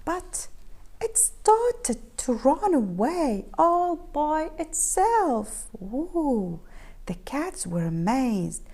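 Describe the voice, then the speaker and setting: A woman reading a picture book aloud to children in an expressive, animated storytelling style, inviting them to join in. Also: high voice, very slow. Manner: expressive, asks the listening children questions.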